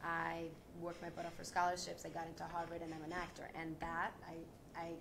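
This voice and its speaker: A woman's voice: fairly low pitch, completely flat delivery, fairly quick, creaky. A young adult woman, an actress on a panel, talking earnestly and reflectively with frequent restarts. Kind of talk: panel discussion. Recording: audible background noise.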